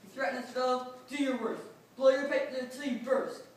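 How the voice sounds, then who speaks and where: A woman's voice: high voice, fairly even intonation, normal speed, natural voice. A woman playing a pompous, stingy male town mayor, blustering through verse in readers theatre. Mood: outraged. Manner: blustering, emphatic. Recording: distant, very echoey room, faint noise.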